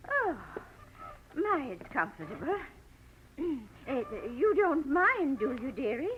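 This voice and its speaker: A woman's voice: high-pitched, big pitch swings, takes it slowly, full character voice. An actress in a 1940s radio drama playing an old bookshop owner, a coaxing, hesitant, folksy elderly woman. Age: older. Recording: muffled, noisy 1940s radio recording.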